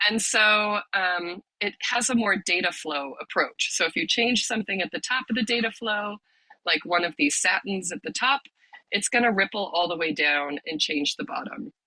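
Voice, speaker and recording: A woman leading an online workshop on a video call, explaining the steps in a friendly way with many ums, a little hoarse from a cold. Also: mid-range voice, lively intonation, normal speed, pushed and bright, dry recording, low-quality recording. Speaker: workshop leader, lab director.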